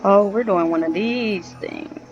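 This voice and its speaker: A woman's voice: mid-range voice, a little slow, faint music behind. A woman doing casual, reactive commentary while playing a video game, on a dull-sounding mic. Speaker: gamer commenting on gameplay.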